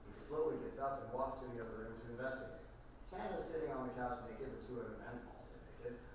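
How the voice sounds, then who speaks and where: Man narrating a script, very echoey. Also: medium pitch. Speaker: narrator reading stage directions. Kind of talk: scripted narration at a table read. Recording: very echoey room, distant mic, noisy.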